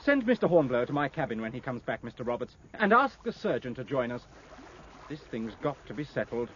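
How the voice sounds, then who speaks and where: An actor playing a worried first lieutenant burdened by command, with an English accent, in a 1950s radio drama. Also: male voice, medium pitch, sing-song, a little fast, fairly bright tone. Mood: troubled. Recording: muffled, noisy 1950s radio recording.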